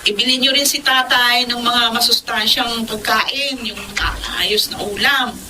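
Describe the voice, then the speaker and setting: A woman acting as a dutiful, businesslike daughter in a radio drama. She speaks in a fairly high voice, matter-of-fact and instructing, against clear background noise. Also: steady tone, unhurried, close to an everyday voice. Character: dutiful, businesslike grown daughter phoning her mother.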